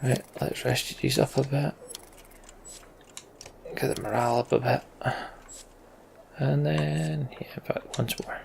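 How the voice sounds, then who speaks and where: A man's voice: medium pitch, steady tone, slow, vocal fry, pauses often, darkish tone, slight background noise. A man giving casual game commentary and reading story text aloud as a let's play host.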